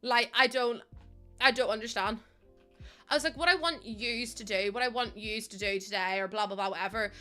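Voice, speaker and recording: A woman's voice: a little high, moderate pace, bright, forceful tone. A young woman with a Northern Irish accent chatting on a live stream, giggly and chatty, using local slang. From Northern Ireland. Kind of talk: casual chat with live chat. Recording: faint music behind.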